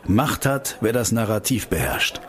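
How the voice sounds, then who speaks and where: A man's voice: lowish voice, animated, measured pace, fairly bright tone, a faint crowd. A man playing an ambitious investigator in a radio play, politely combative, with pointed and sardonic questioning. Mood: sardonic, probing.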